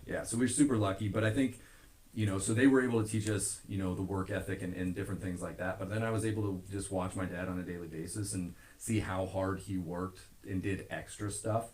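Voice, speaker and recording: Very deep-voiced American man, roomy sound. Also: fairly even intonation, brisk, darkish tone. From Omaha, Nebraska. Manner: rambling, earnest, frequent "you know". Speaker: podcast guest, gym owner. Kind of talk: casual conversational interview. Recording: roomy, echoing podcast recording.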